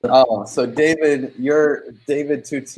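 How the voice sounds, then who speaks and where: Man, mid-pitched, casual host, rambling, fillers, video call. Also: fairly even intonation, takes it slowly, darkish tone, low-quality recording. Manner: loose, rambling, lots of fillers. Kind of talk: casual livestream chat.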